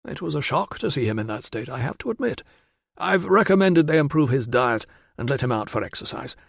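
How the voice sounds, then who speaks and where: A man's voice: mid-range voice, sing-song, vocal fry, no room sound, clean studio sound, pitched above the performer's own voice. A man doing a cheery, reassuring Roman doctor. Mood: concerned. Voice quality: brisk, reassuring, says 'old chap'. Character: cheery middle-aged male Roman doctor, kindly, fussy.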